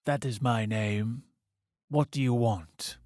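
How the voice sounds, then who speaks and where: An actor playing a sinister, dwarfish villain in an audio drama, coolly menacing and defiant, with a husky, whispering, broken voice. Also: male voice, medium pitch, slow, a little mellow, no echo, clean studio sound, close to an everyday voice. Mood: cold, guarded.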